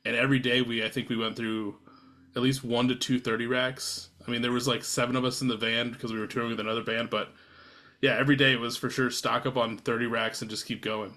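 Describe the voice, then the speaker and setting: A man's voice: mid-range voice, level tone, fast talker, bright, forceful tone. A man hosting a casual podcast chat, rambling a little and laughing along with his guests. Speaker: podcast host. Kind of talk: casual chat over beers.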